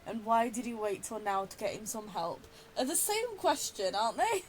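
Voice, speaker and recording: A woman's voice: a little high, animated, normal speed, slight background noise, low-quality recording. A woman giving casual gameplay commentary, chatty and reactive with wry asides. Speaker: gaming video host reacting while playing.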